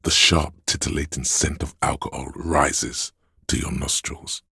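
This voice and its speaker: An extremely deep, rough-edged voice of craving for a video game. It is a hedonistic tempter that coaxes and goads, relishing every indulgent word. The sound is dry and close. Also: male voice, fairly even intonation, unhurried, sharp tone, no room sound, heavily acted. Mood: savouring, seductive. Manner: indulgent, coaxing, savours words, swears. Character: male inner voice of addiction, hedonistic, goading, seductive tempter. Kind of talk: scripted video game voice acting.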